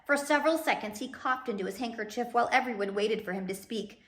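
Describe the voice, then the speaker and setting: Female storybook reader, dramatic and expressive, bright tone, echoey room. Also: on the high side, fast. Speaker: teacher reading a children's novel aloud. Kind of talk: animated storybook read-aloud.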